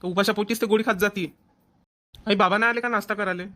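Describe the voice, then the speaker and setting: An actor plays a village brother and husband in a family cartoon. He speaks quickly and insistently, in a homely way. His accent is rural Varhadi Marathi, and he is bossy but caring. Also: mid-range voice, fairly even intonation, bright, forceful tone, lightly acted. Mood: impatient. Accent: Maharashtrian, rural Varhadi Marathi.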